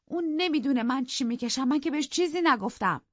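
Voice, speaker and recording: Storybook figure: male reader voicing a shrill, spoiled young woman, sharply raised pitch, dry lo-fi read. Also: expressive, a bit bright, no echo, full character voice. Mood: pleading. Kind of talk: audiobook character voice. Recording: dull dry studio recording.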